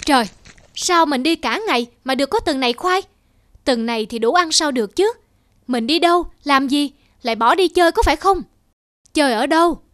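A woman's voice: high-pitched, expressive, normal speed, fairly bright tone, no room sound, studio quality. A woman voicing a scolding, exasperated peasant wife in a folktale cartoon, nagging and sarcastic. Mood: worried, nagging.

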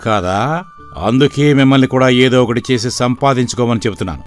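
A man playing a weary, worried but shrewd middle-aged village father in an animated moral story, speaking plainly and earnestly. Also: medium pitch, brisk, close to an everyday voice. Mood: reproachful. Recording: background music throughout.

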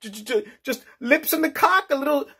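A man acts out a lecherous male executive in a crude comic rant. The voice is pushed high and whiny, moaning and stammering as it pleads. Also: expressive, fairly quick, sharp tone, heavily acted. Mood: pleading, aroused.

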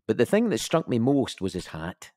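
An actor playing a dry police officer giving a measured, official but blunt account in an audio drama. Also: male voice, mid-range voice, wildly swooping pitch, darkish tone, no room sound. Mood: procedural.

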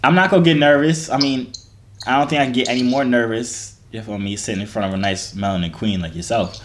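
A man's voice: medium pitch, fast, crowd noise. Teasing young man on a phone video chat.

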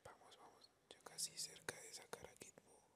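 A woman with a Colombian accent doing playful, slangy ASMR game commentary in a breathy whisper. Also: moderate pace. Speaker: ASMR gamer.

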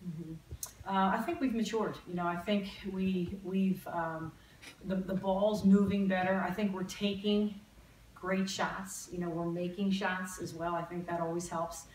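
A middle-aged woman coach answers postgame questions in a low voice, halting and repeating herself as she goes. The sound is roomy and picked up from across the room. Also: fairly even intonation, darkish tone, slight background noise. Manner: halting, many fillers and repeated words. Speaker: head coach. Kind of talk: postgame press conference. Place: press room in an athletics building. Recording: roomy, distant press-room audio.

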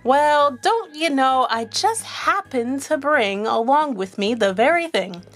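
Woman voicing a pushy rabbit salesman, high-pitched. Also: lively intonation, measured pace, a bit bright, faint music behind, heavily acted. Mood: salesy, eager. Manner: salesy, wheedling. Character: sly, pushy male rabbit salesman, smooth-talking trickster.

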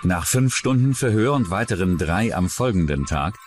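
A male documentary narrator with a low, animated voice tells a true-crime account from a script. Faint music plays behind him. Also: normal speed.

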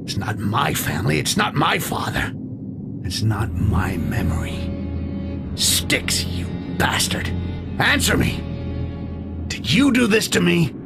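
An actor playing a cynical, foul-mouthed goblin rogue in a video game, with a gravelly, sardonic mutter. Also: male voice, medium pitch, wildly swooping pitch, slow, long pauses, over loud music, full character voice. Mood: angry confusion. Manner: muttering to himself, sardonic, swearing. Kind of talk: dramatic video game acting.

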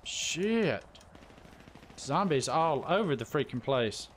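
A man chatting casually during a gaming stream, given to drawn-out exclamations. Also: fairly high pitch, big pitch swings, slight background noise. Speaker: stream host and gamer.

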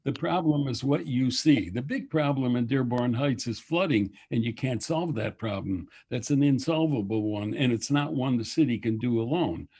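A man's voice: mid-range voice, normal speed, hardly any pauses, mellow, slightly rough. A male council candidate answering at a public forum in blunt, clipped statements.

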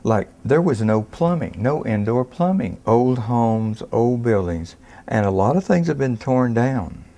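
A man in his seventies from the Texas Panhandle, telling stories in a folksy, expressive, conversational way. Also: medium pitch, measured pace. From Texas Panhandle, United States. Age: 70s. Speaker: interviewee, art collector and retired physician. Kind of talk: conversational storytelling. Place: indoors in a furnished gallery room.

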